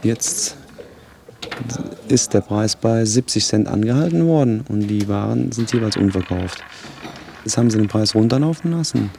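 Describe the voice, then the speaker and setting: A man's voice: fairly low pitch, expressive, moderate pace, creaky, darkish tone, slight background noise. A man working at an auction hall, giving a matter-of-fact running commentary in short updates.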